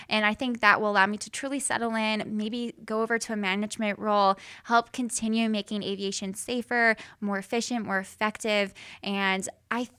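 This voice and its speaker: A young adult woman, a guest airline pilot, chatting in an upbeat, animated way and laughing easily. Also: mid-range voice, clean tone. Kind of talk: casual interview chat.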